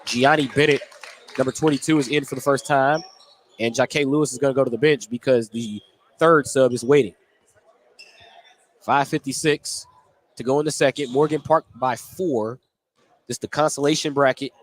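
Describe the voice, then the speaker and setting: A man's voice: mid-range voice, steady tone, normal speed, long pauses. A man calling live basketball play-by-play on the radio from a gym, given to chuckling between calls. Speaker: play-by-play announcer. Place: indoor basketball gym, courtside. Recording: noisy gym broadcast. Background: game noise, bouncing basketball.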